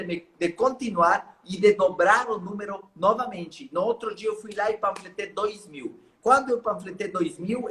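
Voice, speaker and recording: A man's voice: high-pitched, a bit bright. A man whose first language is Spanish, an author telling his story as a guest in an earnest, motivational way. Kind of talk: storytelling in a live interview.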